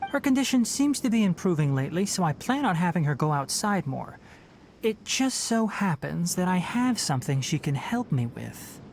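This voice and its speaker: An actor playing a gentle young forest ranger and scholar who talks in a calm, caring, lightly teasing way. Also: male voice, high-pitched, normal speed, vocal fry, a little hiss or hum, heavily acted. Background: game music.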